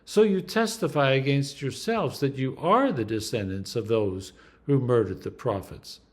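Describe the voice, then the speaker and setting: A man, an Anglican priest, reading scripture aloud in a slow, deliberate and emphatic way. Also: medium pitch.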